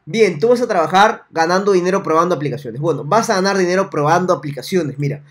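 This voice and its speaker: A young man in a small room, quickly pitching a way to earn money online in a repetitive, salesy tutorial straight to the viewer. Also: lively intonation. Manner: fast, salesy, repetitive, addresses viewer directly. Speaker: online money-making vlogger. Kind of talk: screen-recorded tutorial with webcam.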